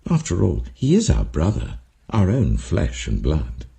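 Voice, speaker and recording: Jealous, scheming shepherd brother, voiced by a male reader, deep, mellow-dark tone, dramatic scripture audiobook. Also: wildly swooping pitch, unhurried, vocal fry, heavily acted. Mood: persuading. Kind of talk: dramatic Bible audiobook character reading.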